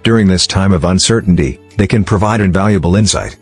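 A man reading a scripted explainer narration in a measured, formal way. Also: low-pitched, breathy edge. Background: background music.

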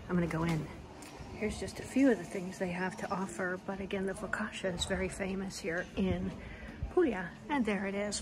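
Woman, walking-tour vlogger, chatty, enthusiastic, outdoor phone recording, loud traffic noise. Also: medium pitch. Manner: chatty and enthusiastic, pointing things out. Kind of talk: casual walking-tour narration. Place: outdoors on town streets and a piazza.

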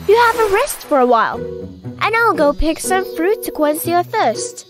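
A teasing little monkey hero for a kids' cartoon. The voice is extremely high and childlike, clever in manner, with music clearly heard behind it. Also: big pitch swings, normal speed. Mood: helpful. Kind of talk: children's cartoon story acting.

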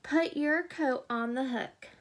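A helpful young dinosaur friend who patiently corrects mix-ups, as a woman reading a picture book aloud puts him on. The voice is fairly high and unhurried. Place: small indoor room at home.

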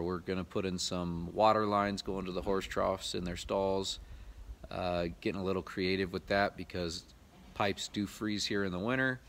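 A man's voice: sits low, a bit bright, slight background noise. An American man in his thirties talking casually to viewers in a laid-back, plain-spoken way, like a DIY vlogger. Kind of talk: casual vlog narration to viewers.

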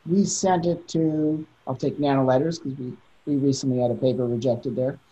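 A man's voice: medium pitch, steady tone, moderate pace, dark tone. A middle-aged man, a journal editor, speaking candidly on a panel over a video call. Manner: thoughtful, candid, with occasional laughs. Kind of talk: panel discussion. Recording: dull lo-fi video call audio.

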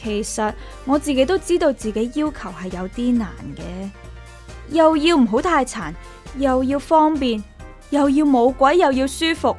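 A woman's voice: high voice, animated, normal speed, darkish tone, soft background music. An actress playing a modest, wry woman renter in a radio drama, polite and understated, with a Hong Kong accent. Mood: wry, resigned. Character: modest, wry young woman renter, narrating her troubles. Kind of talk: radio drama dialogue and inner monologue.

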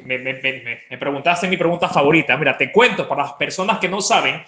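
A man's voice: fairly high pitch, quick pace, sharp tone. A man in his thirties with an Ecuadorian accent, talking enthusiastically and explaining things informally as a college representative on a video call. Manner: enthusiastic, explanatory, repeats words for emphasis. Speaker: college representative, webinar guest. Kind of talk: informal promotional interview talk. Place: home living room with open kitchen, video call. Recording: roomy echo on video call.

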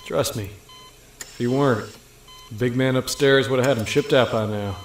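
A man playing a gruff, loyal, fatherly Marine in an audio drama. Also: mid-range voice, moderate pace, soft background music, natural voice. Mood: assured. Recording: echoey room, faint ambient noise.

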